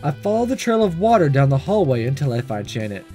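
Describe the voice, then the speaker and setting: Someone narrating a visual novel, pretty animated, casual asides, music playing behind. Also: mid-range voice, quick pace. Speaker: let's player reading a visual novel aloud. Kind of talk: narrating and voicing a game with casual reactions. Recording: music under the voice. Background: game music.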